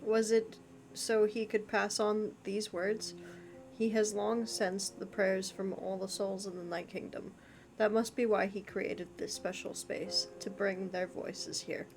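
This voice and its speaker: A woman's voice: mid-range voice, level tone, a little slow, darkish tone, lightly acted, poor audio. Casting: actress as observant, reflective fantasy game woman, mid-range voice, faint music behind. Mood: thoughtful. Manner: reflective, explanatory. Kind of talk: dramatic video game voice acting.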